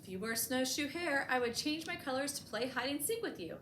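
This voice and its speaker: A woman reading a picture book aloud for children as a storytime host, expressively and with deliberate emphasis, adding short explanatory asides. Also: high voice, animated, bright, forceful tone. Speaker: librarian hosting a bedtime storytime. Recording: echoey room with clear background noise.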